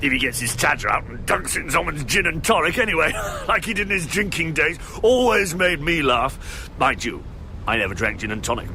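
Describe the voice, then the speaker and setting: A bright, highish male gossip with lively pitch swings. He is cynical and insinuating, in a radio comedy monologue with faint noise. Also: a little fast, full character voice. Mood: insinuating, amused. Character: nosy, cynical, muttering English gossip, male.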